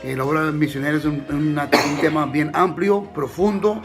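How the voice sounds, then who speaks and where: A man's voice: on the high side, fairly even intonation. Pastor, US-born man, telling his conversion story; earnest, restarts often, light music bed. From United States. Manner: earnest, halting, many restarts and fillers. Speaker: missionary pastor. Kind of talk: testimony in an interview.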